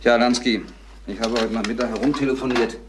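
An actor playing a stern, insistent businessman in a crime radio play, with a measured, pressing manner. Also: mid-range voice, steady tone, lightly acted. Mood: grave, probing. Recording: noisy old radio play recording.

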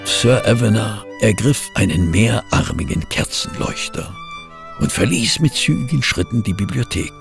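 An actor playing an affable, slightly befuddled gentleman companion to a detective in a German audio drama. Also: fairly low pitch, sing-song, moderate pace, gravelly, music in the background. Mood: measured narration. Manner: hesitant, bumbling, eager. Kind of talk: German audio drama acting with scripted narration.

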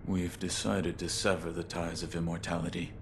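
An actor playing a stoic, loyal shinobi warrior in a video game, speaking in terse, grave, restrained phrases. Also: male voice, low-pitched, fairly even intonation, moderate pace, creaky, noisy background. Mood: solemn.

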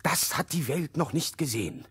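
Ein Schauspieler spielt in einem Märchenhörspiel einen aufgeregten Sportreporter, der dramatisch und blumig live kommentiert. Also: male voice, a little high, animated, normal speed, fairly bright tone, husky, heavily acted. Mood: excited. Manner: breathlessly dramatic, florid. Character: excitable sports reporter following a fairy-tale race. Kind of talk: parody live sports commentary in radio play.

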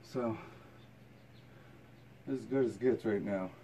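Slow-talking tired man, noisy camera audio. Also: fairly low pitch, warm tone, highs cut off. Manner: plain-spoken, colloquial, mild swearing. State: tired from exercise. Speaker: fitness vlogger. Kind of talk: casual workout vlog talk to camera. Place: rooms at home and a home gym.

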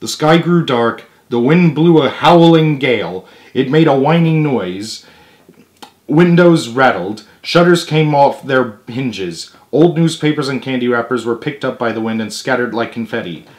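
A man's voice: medium pitch, measured pace. Young man reading a children's book aloud. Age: young adult. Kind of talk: expressive read-aloud narration with casual asides. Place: small living room at home, indoors.

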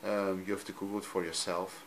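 A man from Belgium, a native Dutch speaker with a Dutch accent, talking off camera in a halting, simple way while he films his TV screen at home. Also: deep voice, little pitch movement. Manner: halting, frequent ums, simple non-native phrasing. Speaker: hobbyist youtuber. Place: room at home, filming a TV screen.

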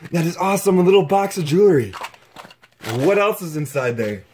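Excitable young man vlogging a find. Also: high voice, a little fast, a little hiss or hum. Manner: excitable, exclaiming over finds. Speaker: exploration vlogger. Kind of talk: excited vlog narration.

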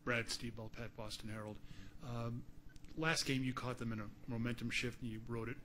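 A man's voice: medium pitch, fairly even intonation, moderate pace, fairly bright tone, slight background noise. A man who works as a newspaper reporter, steadily asking a question at a press conference.

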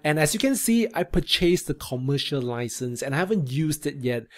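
A young man presenting a casual software review to camera, speaking into a close desk microphone. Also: a little high, lively intonation, normal speed. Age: young adult. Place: small indoor room. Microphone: desk microphone close in front.

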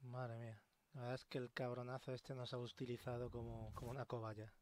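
A man's voice: medium pitch, level tone, fairly quick. Guy with spain accent chatting over a video game, medium voice, swears a little, slight background hum of the game. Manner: informal, mildly sweary. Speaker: gaming YouTuber. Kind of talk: casual let's play commentary. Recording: faint game ambience behind voice. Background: quiet video game ambience.

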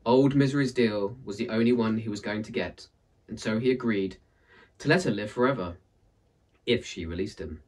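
A man in his thirties with a husky voice, reading and presenting a book as an expressive storyteller. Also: on the low side, normal speed, far from the mic. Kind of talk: expressive read-aloud storytelling and book introduction. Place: indoors in front of a purple backdrop.

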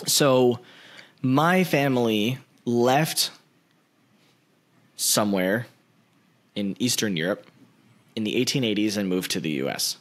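A man in his thirties hosting a podcast, talking in an animated way into a large studio mic in a small room at home. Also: medium pitch, slow pace, pauses often. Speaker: podcast host. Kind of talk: casual interview talk. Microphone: large studio mic with headphones.

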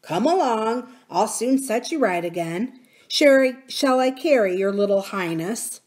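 A woman's voice: fairly high pitch, lively intonation, measured pace, darkish tone, pitched above the performer's own voice. Woman voicing a bold, cheerful young miner boy. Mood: matter-of-fact, bold. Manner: plain-spoken, matter-of-fact, self-assured. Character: confident cheerful twelve-year-old miner boy, blunt and fearless.

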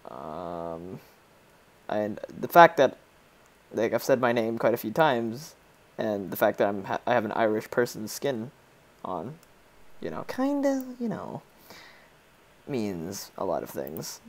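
A teenage boy with a Polish accent gives loose, joking commentary while playing a game. His voice is gravelly and swings up and down a lot. He rambles and reads game lines aloud in silly voices. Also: lowish voice, very animated, slow pace, long pauses. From Poland. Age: teens. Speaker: gaming YouTuber. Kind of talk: casual let's play commentary.

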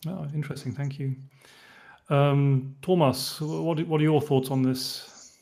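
A man moderates an online panel in a fairly high voice. He phrases things haltingly as he hands questions to the speakers. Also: fairly even intonation, vocal fry, a little mellow. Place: indoors, online video call.

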